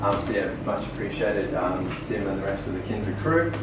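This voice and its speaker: A man's voice: deep voice, steady tone, moderate pace. A man with an Australian accent giving an earnest public presentation, with many ums and restarts. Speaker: speaker. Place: small venue, far from the mic. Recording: echoey room from far off, noisy.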